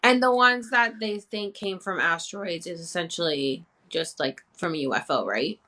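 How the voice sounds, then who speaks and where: A woman's voice: fairly low pitch, moderate pace, pushed and bright. A woman hosting a livestream chat who asks hesitant questions padded with "um". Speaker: host.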